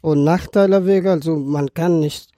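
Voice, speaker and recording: A man's voice: a little high, normal speed, mellow, no room sound, studio quality. A man in his early twenties from Afghanistan, a native Dari speaker with an Afghan accent, telling his own story in a podcast conversation with non-native phrasing. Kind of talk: personal account in a podcast conversation.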